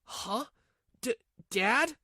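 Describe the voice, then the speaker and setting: A man's voice: extremely high pitch, expressive, very slow, pushed and bright, no echo, clean studio sound, heavily acted. A man playing a whiny, swooping cowardly husband. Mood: shocked pleading. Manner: stammering, pleading. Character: whiny, cowardly cheating husband, stammering and self-pitying. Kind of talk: manga dub acting.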